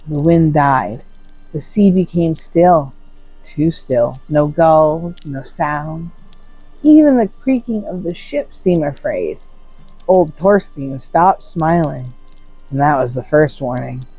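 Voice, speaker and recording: A storyteller narrates a Norse saga in a solemn, theatrical manner. The pitch sits in a middle register, and pauses are placed deliberately to build suspense. The recording is dry and close, with no room sound around the voice. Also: warm tone, no echo, poor audio.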